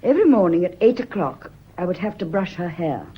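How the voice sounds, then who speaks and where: A woman's voice: fairly low pitch, sing-song, fairly quick, husky, exaggerated voice. An actress playing a prim, guarded British lady's companion answering police questions in a radio mystery play. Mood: prim, explanatory. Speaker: radio drama actor. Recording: dull, muffled radio drama recording.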